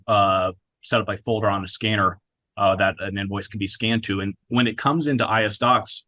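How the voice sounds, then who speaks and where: A man with a fairly low voice presents software in a conversational, businesslike manner. The sound is dry and close, as he talks through a live product demo. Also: no echo.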